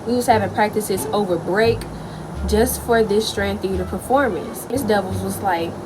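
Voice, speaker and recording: A young woman telling a personal school story in a chatty, animated way. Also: mid-range voice, moderate pace, noisy background. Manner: chatty, animated, lots of likes. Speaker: vlogger. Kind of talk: casual storytime.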